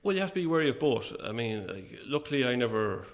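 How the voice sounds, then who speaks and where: A man's voice: mid-range voice, big pitch swings, quick pace. A man with an Irish accent talking casually as an interview guest, hesitant and dryly self-deprecating.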